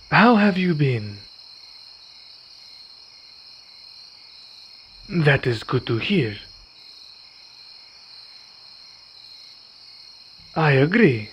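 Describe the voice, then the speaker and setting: A man playing a weary, courteous vampire in an ASMR roleplay, speaking in formal, measured phrases. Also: medium pitch. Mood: calm, weary. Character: weary, courteous male vampire, wounded but calm. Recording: dull recording with night insect noise. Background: crickets and night insects.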